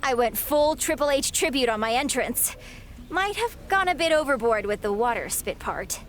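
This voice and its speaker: A woman's voice: high voice, animated, normal speed, a bit bright, slight background noise. An actress playing a chatty, friendly young female wrestling rival in a video game, casual and lightly playful. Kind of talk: scripted video game cutscene acting.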